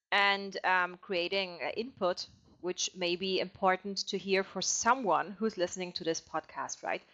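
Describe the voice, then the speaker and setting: German woman, bright voice, lo-fi sound. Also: medium pitch. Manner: friendly, hesitant, many fillers. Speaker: podcast co-host. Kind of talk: casual conversational podcast. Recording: slightly dull, lo-fi sound.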